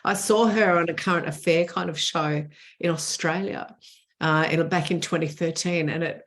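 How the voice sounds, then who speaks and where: Woman, 60s, fairly low voice, earnest, emphatic, repeats words for stress, interview guest. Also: fairly even intonation, normal speed. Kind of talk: conversational interview.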